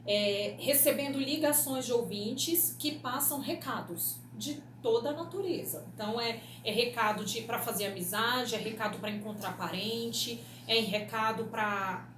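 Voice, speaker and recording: A woman's voice: a little high, unhurried, hardly any pauses. A Brazilian woman explaining things in an interview as a radio producer, often checking in with short tag questions. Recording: echoey room with clear background noise.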